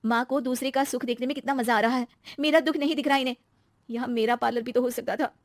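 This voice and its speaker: A woman voicing an excitable, chatty young married woman in a dramatized story, expressive and easily aggrieved. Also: high voice, quick pace, dry recording, clean studio sound, pitched above the performer's own voice, natural voice. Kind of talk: acted story dialogue.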